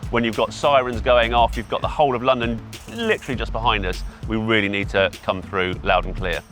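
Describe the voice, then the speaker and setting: A man's voice: on the low side, fairly quick, a bit bright, soft background music. A middle-aged man giving informal, explanatory answers in an interview about his trade. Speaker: event PA hire company representative, interviewee.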